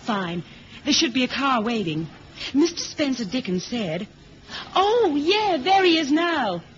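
An eager, businesslike woman agent speaks in a fairly high, lilting voice. She takes her time, in a slightly dull-sounding 1970s radio spy drama. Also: big pitch swings, measured pace. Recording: muffled old radio recording, faint noise.

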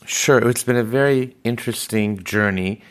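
A man in his fifties, born in Iran, telling his life story in a measured, reflective way during a podcast interview. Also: medium pitch, clean tone. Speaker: podcast host being interviewed. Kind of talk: conversational interview.

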